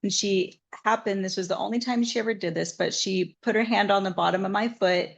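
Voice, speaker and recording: A woman's voice: medium pitch, fairly even intonation, brisk, vocal fry. A woman from Southern Nevada, a massage therapist, telling her story in an interview in an earnest, reflective way.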